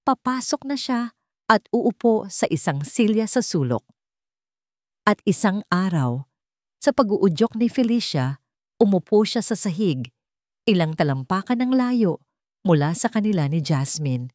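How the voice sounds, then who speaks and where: A woman narrating a scripted love story in a sentimental, dramatic manner with deliberate phrasing. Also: mid-range voice, expressive, dark tone, no echo, clean studio sound.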